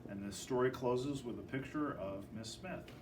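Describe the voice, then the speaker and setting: A man reading a children's picture book aloud in an expressive, dramatic storytelling manner. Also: medium pitch, normal speed, roomy sound. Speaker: storybook reader. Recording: distant microphone with faint background noise.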